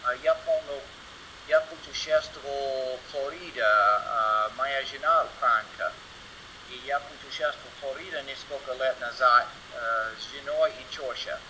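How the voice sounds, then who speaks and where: A man with an American accent gives an informal conference talk as a non-native speaker, halting and searching for words with broken grammar. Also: mid-range voice, bright, forceful tone. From United States. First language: English. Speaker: guest conference presenter. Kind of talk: informal conference talk in Russian as a second language. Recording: noisy online seminar audio.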